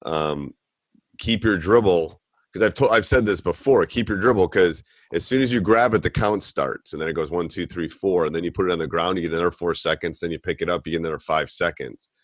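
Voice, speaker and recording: Deep voiced middle aged coach guy, laid back, rambly, super dry close sound. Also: brisk, no room sound. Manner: relaxed, rambling, plain-spoken coach talk. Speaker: basketball coach and podcast host. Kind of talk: casual coaching Q&A call.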